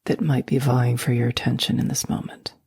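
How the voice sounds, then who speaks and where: Whispery low-voiced woman guiding meditation. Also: level tone, slightly rough, dry recording, studio quality. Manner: slow, soft and soothing, often whispered. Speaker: meditation guide. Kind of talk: guided sleep meditation.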